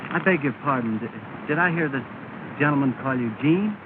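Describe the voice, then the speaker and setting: An actor playing a courteous, mild but quietly firm young gentleman in a 1930s film, speaking politely and with measure. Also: mid-range voice, animated, brisk, narrow, tinny audio, natural voice. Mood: polite, pleased. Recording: thin, noisy 1930 film soundtrack.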